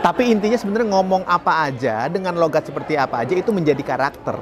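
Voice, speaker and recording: Male speaking coach, upbeat and chatty, slightly high voice, recorded outdoors in a city with a crowd audible behind. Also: fast talker, muffled recording, noisy background. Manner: lively, chatty, laughs at his own slips. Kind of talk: motivational talk to camera.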